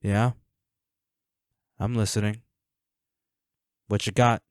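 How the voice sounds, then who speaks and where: A man's voice: lowish voice, level tone, smooth, no room sound. Man acting as a taunting male villain, close and dry. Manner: taunting, mock-sympathetic, unhurried. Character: flirty, menacing male villain taunting captured foes. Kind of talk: scripted audio roleplay acting addressed to the listener.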